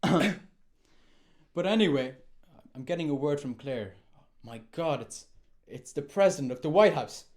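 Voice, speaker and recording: A man's voice: a little high, expressive, normal speed, pauses often, darkish tone, natural voice. A young man playing a chatty, goofy sidekick co-host in a hammy comedy show sketch. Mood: excited.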